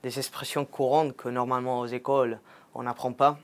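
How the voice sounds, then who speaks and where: A man's voice: medium pitch, level tone, a little fast. Young Spanish-accented man, thoughtful. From Spain. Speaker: master's student. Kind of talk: testimonial interview.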